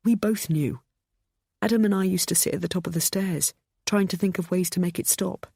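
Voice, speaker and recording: A woman voicing a young man who comforts his mother in a soft, quiet way. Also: on the low side, brisk, gravelly, slightly rough, dry recording, clean studio sound, pitched above the performer's own voice. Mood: gentle. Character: young adult man, gentle comforting son.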